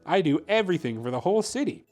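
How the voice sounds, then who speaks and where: A man's voice: a little high, very animated, quick pace, a little mellow, soft background music, pitched above the performer's own voice. A man voicing a little old man in a children's story, folksy and put-upon. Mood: grumbling. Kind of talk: children's storybook character reading.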